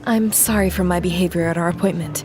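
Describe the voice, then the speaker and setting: A woman's voice: lowish voice, fairly even intonation, quick pace, music in the background, close to an everyday voice. A woman playing a polite, controlled town official in an audio drama. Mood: apologetic, firm. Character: composed, formal female town official, diplomatic.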